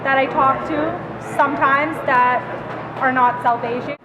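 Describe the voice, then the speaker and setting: A woman's voice: high voice, steady tone, a little slow. A young woman answering interview questions in an easygoing way with hesitant fillers. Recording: echoey public hall, muffled, crowd noise.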